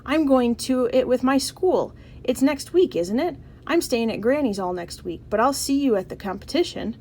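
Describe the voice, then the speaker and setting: A woman's voice: sits high, lively intonation, moderate pace, mellow, a little hiss or hum, pitched above the performer's own voice. A woman voicing a spirited, outspoken young schoolgirl in a storybook, frank and emphatic. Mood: excited.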